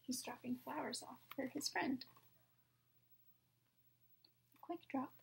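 A young adult woman reading picture books to children at story time in a soft, hushed, breathy manner. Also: high voice, normal speed, a little hiss or hum. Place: small room at home, indoors.

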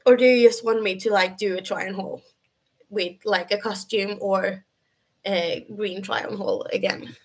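A young woman from Sweden with a Swedish accent and a high, feminine voice, chatting casually with viewers on a webcam livestream from her bedroom, full of fillers and restarts. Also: steady tone, unhurried, husky. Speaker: livestream host. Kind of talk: casual live chat answering viewers. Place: bedroom at home, indoors.

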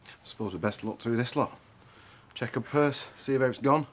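An actor playing a gentle male partner, speaking in a low, soothing way. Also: moderate pace, a little hiss or hum, lightly acted. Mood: consoling.

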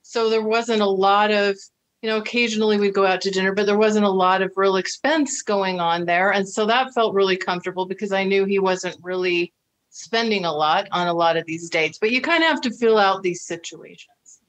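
A middle-aged American woman hosts over a video call, speaking at an ordinary pitch. She is chatty and reassuring, weaving in fillers as she shares advice. Her voice comes through a webcam mic in a home room. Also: a little fast. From United States. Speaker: dating coach and host. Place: indoor room with flowers.

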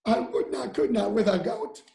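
A man's voice: high voice, very animated, moderate pace, vocal fry, mellow, exaggerated voice. Storybook role: grumpy, stubborn creature performed by a man, exasperated, emphatic rhymed delivery, roomy sound.

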